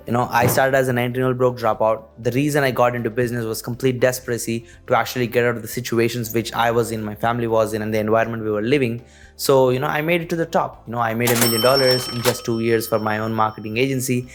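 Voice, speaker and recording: A man's voice: mid-range voice, flat delivery, fast talker, faint music behind. A young man from India with an Indian accent, talking earnestly about his business, dropping lots of "you know" and "actually". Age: young adult. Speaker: business owner. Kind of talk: direct-to-camera business talk.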